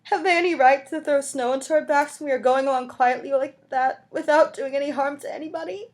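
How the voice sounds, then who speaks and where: A desperate, impoverished mother played by a woman reading a novel aloud pleads for mercy. Her voice is high and agitated, close to sobbing, in a dramatic storybook delivery. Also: fairly even intonation, fairly quick, lightly acted. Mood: frantic pleading. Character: desperate destitute mother begging tearfully for mercy.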